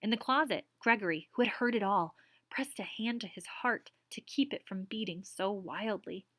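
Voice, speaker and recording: A woman reading a storybook aloud as a teacher, soft-spoken and explanatory. Her middle-range voice sits close and dry, with no room sound. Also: mid-range voice, moderate pace, no room sound.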